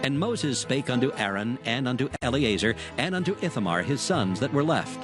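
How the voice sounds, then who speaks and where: A man narrating scripture from a script in a measured, solemn manner. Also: mid-range voice, expressive. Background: music.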